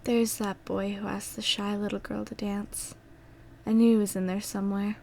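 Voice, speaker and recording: A woman playing a tender, desperate young woman in love in an audio drama, with breathy, halting, pleading delivery. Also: medium pitch, level tone, mellow, slight background noise, lightly acted. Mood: faint, loving.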